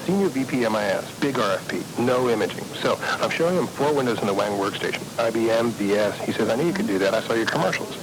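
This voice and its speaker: A man playing a brisk, confident tech salesman with clipped delivery in a dramatized radio commercial. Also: mid-range voice, animated, lightly acted. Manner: clipped, telegraphic. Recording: noisy old radio recording.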